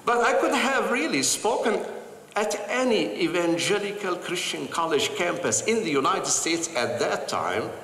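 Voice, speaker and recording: An older man from Palestine telling personal stories to an audience with earnest, animated delivery and frequent pauses. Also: high-pitched. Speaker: speaker. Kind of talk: personal storytelling talk. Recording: echoey hall with light ambience.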